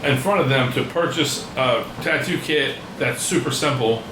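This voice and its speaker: A man in his forties chats in a blunt, informal way with a medium-pitched voice. The sound is quite echoey, with clear room noise behind him. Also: moderate pace. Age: 40s. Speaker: podcast host, tattoo artist. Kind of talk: casual conversational interviewing. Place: indoors in a tattoo shop. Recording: roomy, noisy air-conditioned room.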